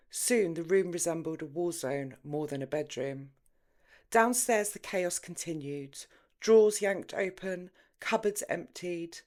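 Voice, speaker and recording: A woman with a British accent reading her own novel as an audiobook, in expressive, deliberately phrased scripted narration. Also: deep voice.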